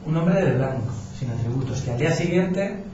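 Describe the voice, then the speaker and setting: A journalist from giving an informal talk to an audience, colloquial and anecdotal, with frequent restarts. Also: male voice, a little high, lively intonation, moderate pace. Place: meeting room with audience. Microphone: distant room mic. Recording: echoey, distant, dull with noise.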